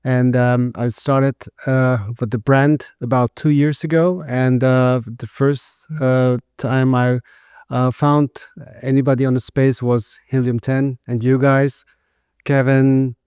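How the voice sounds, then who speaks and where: A middle-aged man from Germany, a native German speaker, answering interview questions in a halting way with many fillers. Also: medium pitch, little pitch movement, darkish tone, smooth, no echo, studio quality. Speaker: guest, textile manufacturer.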